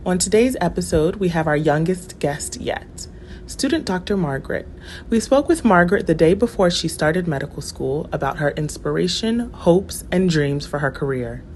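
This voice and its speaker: A woman's voice: on the low side, lively intonation, moderate pace, darkish tone, a little hiss or hum. A young woman hosting a podcast, upbeat and chatty, laughing easily. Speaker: podcast host, junior doctor.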